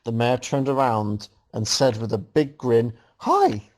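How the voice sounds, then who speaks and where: A man's voice: mid-range voice, a little slow, darkish tone. A man reading a story aloud to a group as host, reacting casually and often amused as he goes. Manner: amused, breaking into laughter while reading.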